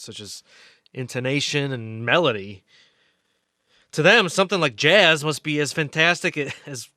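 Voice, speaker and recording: Nerdy male investigator, played by an actor, radio play. Higher-pitched, forceful, dry close sound, earnest halting delivery. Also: no echo. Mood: awed, thoughtful. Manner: earnest, halting, stammering explanations. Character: excited nerdy male investigator explaining alien theories.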